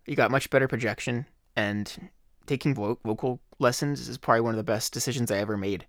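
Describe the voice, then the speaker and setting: Hoarse man hosting a casual podcast. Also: medium pitch, a little fast, dry recording, clean studio sound. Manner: rambling, conversational, with fillers. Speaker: podcast host. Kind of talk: casual solo podcast talk.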